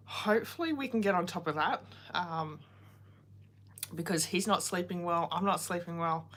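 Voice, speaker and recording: A woman's voice: lowish voice, a bit bright. A woman, hosting a casual card-making livestream, chatty and greeting viewers by name while coming down with a cold and a sore throat.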